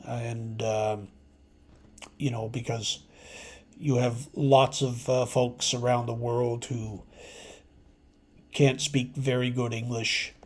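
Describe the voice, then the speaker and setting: A man who hosts an amateur radio channel, talking to his subscribers in a casual, unscripted, halting and reflective way that rambles. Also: mid-range voice. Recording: clear steady background noise.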